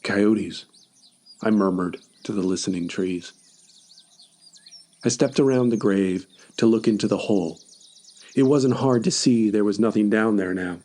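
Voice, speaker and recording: A man's voice: fairly low pitch, normal speed, warm tone. A man reading scripted first-person horror narration as a story podcast narrator.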